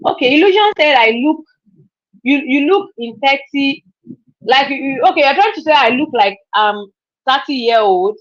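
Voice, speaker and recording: A woman's voice: high-pitched, animated, moderate pace. A woman, chatting casually on a live stream, repeating herself and laughing often. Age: 30s. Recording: dull lo-fi stream audio.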